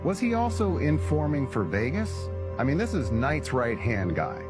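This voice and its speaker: A man's voice: medium pitch, very animated, normal speed, over music. A man giving emphatic, opinionated true-crime commentary.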